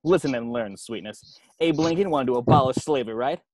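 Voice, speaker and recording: A man's voice: medium pitch, brisk, slight background noise, lightly acted. A man playing a cocky, secretive former hand model with a dramatic, knowing, slangy delivery in an amateur comedy script read. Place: indoors at home on a video call. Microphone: video call.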